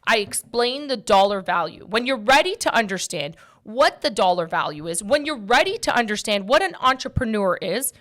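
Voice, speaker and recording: A woman in her forties with a fairly high, bright, forceful voice. She hosts a casual talk show, speaking bluntly and straight to her listeners, and repeats phrases to drive them home. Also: sing-song, moderate pace, hardly any pauses. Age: early 40s. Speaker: podcast host and mortgage brokerage owner.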